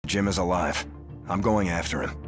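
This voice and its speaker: Man playing a deep-voiced resolute officer. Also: normal speed, over music, close to an everyday voice. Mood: determined. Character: earnest, resolute male starship officer. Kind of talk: video game cinematic acting.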